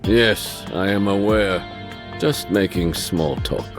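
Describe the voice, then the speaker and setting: An older crime boss from a video game. His voice is very low, formal and weighty, with a courteous surface over quiet menace. Faint music plays behind him. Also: slow. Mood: dry. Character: aging male immigrant crime elder, courteous, bitter, quietly menacing. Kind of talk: video game dub dialogue.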